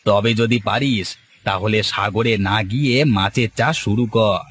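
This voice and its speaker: A man's voice: fairly low pitch, measured pace, fairly bright tone, a little hiss or hum. A man voicing a familiar, teasing older village man in a cartoon story. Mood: matter-of-fact. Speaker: cartoon character voice. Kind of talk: Bengali cartoon story dialogue.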